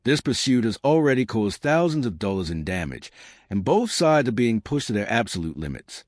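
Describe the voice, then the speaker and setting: A man's voice: on the low side, big pitch swings, normal speed, no room sound, clean studio sound. A man narrating police footage from a script in a grave, emphatic, dramatic true-crime style.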